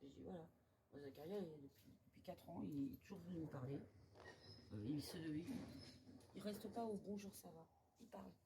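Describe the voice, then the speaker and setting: A woman's voice: fairly low pitch, fairly quick. A woman chatting casually with a coworker, hesitant and repeating words. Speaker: hospital coworker. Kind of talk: casual chat. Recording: noisy background.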